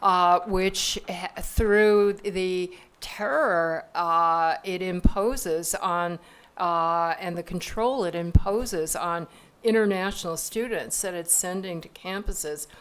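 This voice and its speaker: A woman with a low, smooth voice answers on a conference panel. She speaks in a measured way, pauses, and rebuilds her sentences mid-thought. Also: fairly bright tone. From United States. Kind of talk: panel discussion. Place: conference hall stage.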